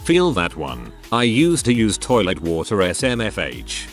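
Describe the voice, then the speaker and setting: Low-voiced man reading posts over music. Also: wildly swooping pitch, measured pace. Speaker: narrator reading online posts. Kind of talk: scripted narration. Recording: soft music bed behind voice. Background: faint background music.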